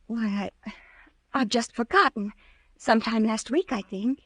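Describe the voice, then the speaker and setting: A woman's voice: fairly high pitch, a little slow, dry recording, clean studio sound. An actress playing a flustered, anxious young woman who hesitates and pleads, in old-time radio melodrama style. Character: frightened, flustered good-looking young woman.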